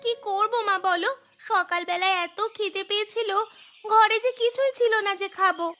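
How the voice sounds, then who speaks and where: A woman voicing a bold, cheeky elder sister in a village cartoon, bossy and quick to protest. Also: very high voice, bright, forceful tone. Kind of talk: cartoon story dialogue. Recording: music behind the voice.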